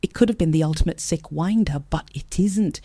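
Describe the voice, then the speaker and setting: A woman's voice: sits low, a little fast, dark tone, dry recording. An actor, a woman, playing a brash, sarcastic young woman who explains bluntly and impatiently in an audio drama. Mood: excited, sarcastic. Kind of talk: naturalistic audio drama acting.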